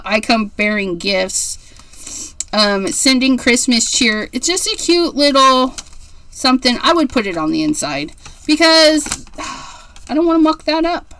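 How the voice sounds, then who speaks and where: A woman's voice: on the high side, fairly bright tone, no echo. A woman in her forties hosting a casual card-making livestream, chatty and rambling, gushing over how cute things are. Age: 40s. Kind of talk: casual livestream craft demo.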